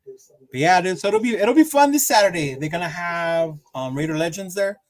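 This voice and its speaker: A man's voice: sits high, expressive, moderate pace. Laid-back slangy male podcast host. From Mexican heritage. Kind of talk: casual chat.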